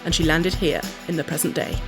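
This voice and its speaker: Woman, fairly low pitch, scripted character narration, music bed. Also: steady tone, brisk. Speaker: cast member introducing a character.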